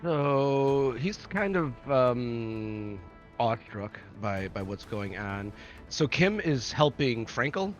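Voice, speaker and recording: A man's voice: mid-range voice, animated, takes it slowly, dull audio. A man talking casually as a player in a tabletop game, describing his character and asking questions. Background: game music.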